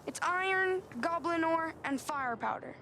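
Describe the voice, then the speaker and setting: A woman's voice: extremely high pitch, expressive, measured pace, bright, forceful tone, a little hiss or hum, exaggerated voice. A woman voicing an eager, stubborn young child in a fantasy quest in a video game.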